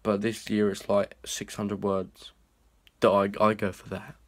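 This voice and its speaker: A man's voice: fairly low pitch, completely flat delivery, unhurried. A young man, rambling and swearing in a casual vlog filmed on a phone held at arm's length. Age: young adult. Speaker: vlogger. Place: small indoor rooms and a hallway.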